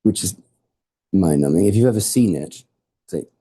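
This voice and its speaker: Very dark-voiced man giving a rambling lecture. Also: low-pitched, lively intonation, normal speed, husky. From born in Hawaii, raised in Portugal and England. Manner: informal, digressive, jokey, swears for effect. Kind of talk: academic talk with slides. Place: lecture hall.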